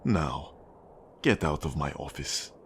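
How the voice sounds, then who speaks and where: A deep-voiced Russian mob boss, acted by a man for an ASMR roleplay, speaks over noticeable background noise. He takes his time with each phrase, cold and controlling. His Russian accent carries a condescending, menacing edge. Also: animated, heavily acted. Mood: curt, dismissive. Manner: slow, deliberate, controlling, condescending. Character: cold, menacing Russian male mafia boss and accountant, power-hungry. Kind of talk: ASMR roleplay monologue addressed to the listener.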